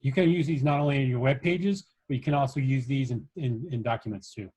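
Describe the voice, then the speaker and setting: Male workshop instructor, mid-pitched, informal and halting, walking learners through software step by step. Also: slightly rough. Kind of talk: casual screen-share coding tutorial.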